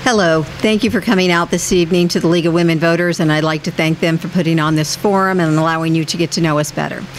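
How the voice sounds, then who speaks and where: A woman's voice: sits low, fairly even intonation, quick pace, slight background noise. A middle-aged woman with an American accent, giving a prepared candidate introduction at a forum. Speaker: city council candidate.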